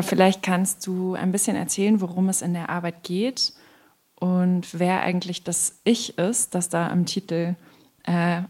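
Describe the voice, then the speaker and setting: A woman hosting a podcast, reading a scripted introduction and then asking calm questions. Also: fairly low pitch. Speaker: podcast host.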